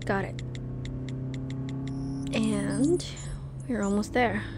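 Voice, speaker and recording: A woman's voice: medium pitch, very slow, noisy background. A woman playing a short-tempered, resolute young secret agent out for justice in an audio drama. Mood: determined.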